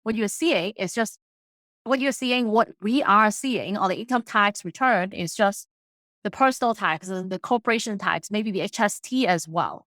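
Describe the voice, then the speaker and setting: A woman's voice: mid-range voice, normal speed, a bit bright, no room sound. Woman, english not her first language, explaining stuff earnestly, dry close sound. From immigrated to Canada in her late teens. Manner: earnest, explains plainly with restarts. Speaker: accountant and author, podcast guest. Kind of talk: casual interview talk.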